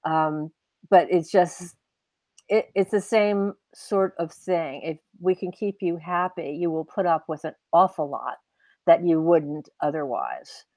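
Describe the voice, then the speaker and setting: Older female author, low-set voice, reflective and explanatory, casual interview over a dry-sounding video call. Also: measured pace, warm tone, no room sound. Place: indoors at home on a video call. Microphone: wired earbuds on a video call.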